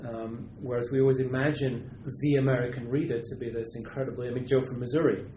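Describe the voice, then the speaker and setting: A man from Britain, a literary translator, answering questions at a university seminar in a thoughtful, halting way with many restarts. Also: mid-range voice, fairly even intonation, echoey room, noisy background.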